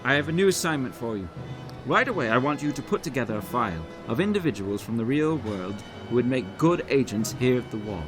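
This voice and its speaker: A man's voice: medium pitch, lively intonation, brisk, close to an everyday voice. A man playing a courteous, eccentric agency director with a formal, clipped manner, in a comic audio drama. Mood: brisk. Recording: music underneath.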